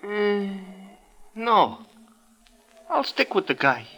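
Squeaky, creaky excitable Broadway gambler. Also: male voice, very slow, exaggerated voice, poor audio. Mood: saddened. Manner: slangy, exclamatory, questioning. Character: excitable, soft-hearted small-time Broadway betting man. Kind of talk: 1940s-50s radio drama acting.

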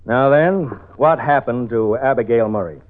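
A man's voice: mid-range voice, measured pace, slight background noise, close to an everyday voice. An actor playing an impatient male police detective in a 1940s radio drama, firing brusque questions. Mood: stern. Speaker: police officer questioning the lead. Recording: tinny old radio broadcast.

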